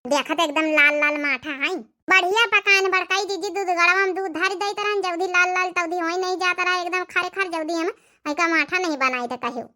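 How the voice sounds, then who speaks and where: A gossipy, opinionated village daughter-in-law from a cartoon comedy played by a woman. The voice is extremely high and bright, almost childlike in pitch, with an Awadhi accent and a talkative, advising manner. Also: a little fast. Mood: chatty. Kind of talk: animated family comedy dialogue.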